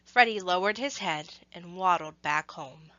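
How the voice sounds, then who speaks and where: A woman reading a scripted story to children, with expressive, measured phrasing and emphasis on action words. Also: on the low side, no room sound, dull audio.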